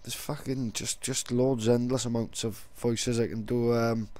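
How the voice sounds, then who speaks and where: A man's voice: mid-range voice, flat delivery, a little slow, darkish tone, dry recording. A man with a Welsh accent, explaining things casually as an online video creator.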